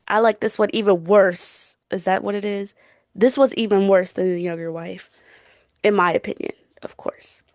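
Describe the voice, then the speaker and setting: A woman's voice: mid-range voice, creaky, dry recording, studio quality. A young woman co-hosting a casual book chat in an informal, emphatic, opinionated way. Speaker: book podcast co-host.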